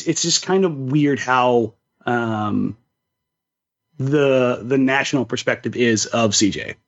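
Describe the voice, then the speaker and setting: Slightly muffled, higher-voiced American man, emphatic. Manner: emphatic, opinionated, repeats words for stress. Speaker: basketball podcast co-host. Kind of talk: casual sports talk.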